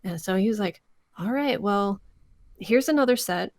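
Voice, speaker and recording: An American woman with some vocal fry, talking casually as an interview guest and telling stories by acting out conversations. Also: mid-range voice, normal speed, dry recording. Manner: animated storyteller, re-enacts conversations. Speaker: podcast guest, voice actress. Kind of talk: casual interview chat, anecdotes.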